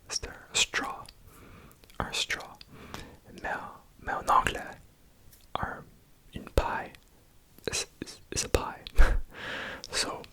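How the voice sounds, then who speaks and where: A young man with a Franco-Ontarian accent whispers close and unhurried, as in an ASMR video. His voice stays almost fully breathy and unvoiced, taking its time over every phrase. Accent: Franco-Ontarian French. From Ontario, Canada. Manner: soft unvoiced whisper, slow and gentle. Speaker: ASMR creator.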